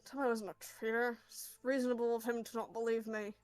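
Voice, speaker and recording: A woman's voice: fairly high pitch, level tone, a little fast, no room sound, natural voice. A woman voicing a put-upon, grumbling young male adventurer in a tabletop roleplaying game. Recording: music behind the voice.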